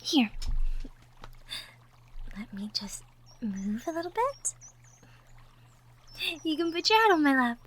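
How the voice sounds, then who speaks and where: A woman playing a gentle, caring young girlfriend from the woods in a soft, intimate romantic ASMR roleplay, with tender murmurs. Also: high voice, big pitch swings, slow, long pauses, exaggerated voice. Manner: soft, tender, breathy murmurs with light giggles. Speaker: audio roleplay performer. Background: birdsong and outdoor nature ambience effects.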